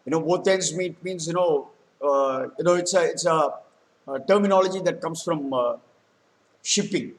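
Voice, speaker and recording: A man telling a folktale in a halting, explanatory way, with frequent hesitant pauses. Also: a little high. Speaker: storyteller. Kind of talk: spoken folktale retelling with vocabulary explanations.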